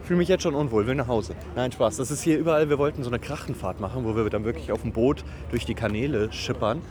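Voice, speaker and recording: A man's voice: medium pitch, fast talker, a crowd in the background. A man hosting a casual travel podcast, talking in a joking, teasing, sarcastic way. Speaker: podcast host recording travel voice messages. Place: outdoors in a busy city. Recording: noisy outdoor street with traffic.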